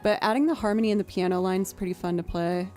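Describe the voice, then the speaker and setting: A young woman chats loosely on her livestream, musing out loud with plenty of ums and likes. Her naturally low voice has a soft, warm tone. Faint music sits underneath. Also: fast. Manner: chatty, thinking aloud, with fillers. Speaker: streamer and musician. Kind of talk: casual livestream chat.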